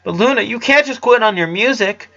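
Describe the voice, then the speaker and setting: Man doing an earnest, high-pitched young boy. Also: gravelly, bright, forceful tone, dull audio, full character voice. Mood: pleading. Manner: earnest, pleading, halting. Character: earnest, guilt-ridden eleven-year-old boy, gentle little brother.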